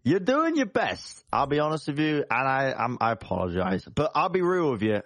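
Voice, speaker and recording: A loud-mouthed warrior braggart , as a man plays him at the table, full of bluster and tripping over his own words. The voice sits in an ordinary middle pitch, dry and close with no room sound. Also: sing-song, normal speed, no room sound. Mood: confiding. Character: brash boastful male warrior.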